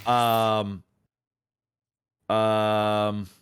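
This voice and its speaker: A man's voice: fairly low pitch, flat delivery, drawn-out words, clean tone, dry recording, studio quality. A man hosting a casual podcast, talking in an opinionated, emphatic way with plenty of slang and swearing. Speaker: podcast host.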